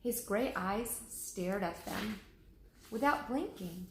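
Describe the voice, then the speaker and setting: A woman, a teacher, reading a children's chapter book aloud with lively, expressive voices. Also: medium pitch, slow, roomy sound, slight background noise, rough recording.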